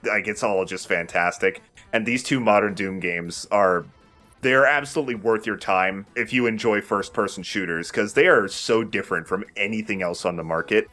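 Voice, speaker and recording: A man's voice: fairly low pitch, normal speed, sharp tone, faint music behind. A man giving casual, rambling and opinionated video game commentary as the host of a let's play.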